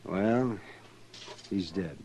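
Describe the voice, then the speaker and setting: An actor playing a blunt, agitated town doctor in an old-time radio western. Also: male voice, deep voice, very slow, mellow, slight background noise, heavily acted. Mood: somber. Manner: blunt, emphatic.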